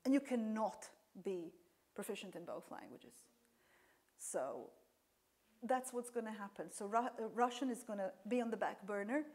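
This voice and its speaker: Casting: woman academic, Serbian native, mid pitch, explains at length with restarts. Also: expressive, normal speed, darkish tone. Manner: explanatory, with frequent fillers and restarts. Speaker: lecturer. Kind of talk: answering audience questions after a talk.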